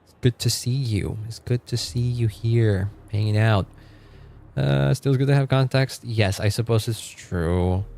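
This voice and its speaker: A man's voice: medium pitch, measured pace, creaky, darkish tone. A man in his twenties chatting casually on a live stream. He rambles, laughs often and trails off mid-sentence. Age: 20s. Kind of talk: casual live-stream chat, reacting to chat and game.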